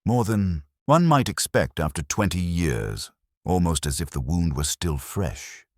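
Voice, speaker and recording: Troubled young wife, read by a male narrator, earnest and confiding, husky and low, mystery story. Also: expressive, measured pace, dry recording, studio quality, heavily acted. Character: anxious young married woman, candid and troubled. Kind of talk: scripted mystery story dialogue.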